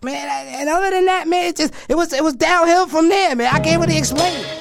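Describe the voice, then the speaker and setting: Aggrieved hip-hop skit rapper, New York accent, high-pitched rapid-fire complaining, music behind. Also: male voice, vocal fry. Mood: exasperated. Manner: ranting, repetitive, foul-mouthed, defensive. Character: perpetually furious, whining underdog male rapper with grievances.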